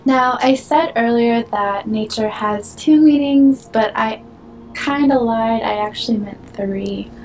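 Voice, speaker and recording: A woman's voice: on the high side, slow, slight background noise, low-quality recording. Chatty young woman analyzing a film. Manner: chatty, playful asides. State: losing her voice. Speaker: film video host. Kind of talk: film analysis talk to camera.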